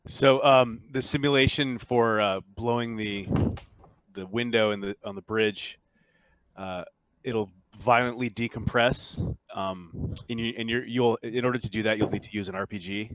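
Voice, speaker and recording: Man, lowish voice, dry close sound, game master, casual, halting improvised delivery. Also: fairly even intonation, fairly bright tone, no room sound. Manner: hesitant, improvising. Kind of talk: casual tabletop game narration.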